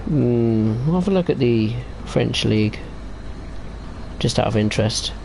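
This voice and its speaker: A man's voice: medium pitch, expressive, measured pace, creaky, a little mellow, a little hiss or hum. A man casually chatting and rambling while streaming a train simulator game. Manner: chatty, rambling about football tables while driving. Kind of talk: casual gameplay commentary.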